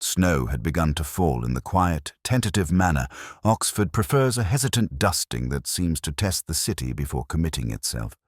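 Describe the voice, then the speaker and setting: A man narrating a scripted first-person detective story in a measured, literary, reflective way. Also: very deep voice, big pitch swings, dry recording, studio quality.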